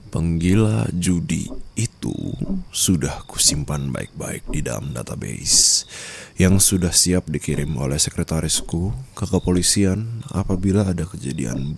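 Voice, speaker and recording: A man's voice: animated, a little slow, slight background noise, exaggerated voice. A man playing a dominant, menacing rich husband in an ASMR roleplay, murmuring taunts intimately and slipping into whispers and English endearments. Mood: cold, knowing. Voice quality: very deep, gravelly. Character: dominant, menacing wealthy new husband toying with treacherous bride.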